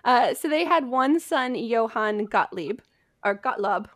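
A woman's voice: on the high side, lively intonation, a little slow, dry recording, studio quality. An American woman hosting a conversational history story, telling it from notes.

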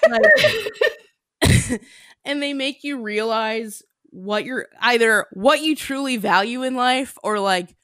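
A woman's voice: on the high side, slow, a bit bright, clear, resonant tone, no room sound, studio quality. Giggly chatty woman podcast host. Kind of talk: casual chat.

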